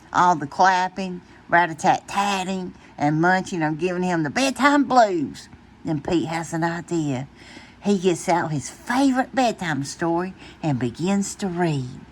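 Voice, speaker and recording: A woman reading a children's bedtime story aloud in an expressive, deliberate way. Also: fairly low pitch. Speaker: storytime reader.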